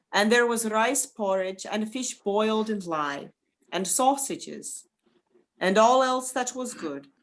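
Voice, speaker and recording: Slow-paced woman narrating a folktale. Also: mid-range voice. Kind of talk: scripted folktale narration for an amateur radio play.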